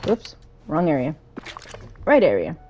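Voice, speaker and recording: Woman, gaming host, animated higher voice, casual commentary and read-aloud, slight background noise. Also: a little slow, highs cut off. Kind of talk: playthrough commentary with reading aloud of game text.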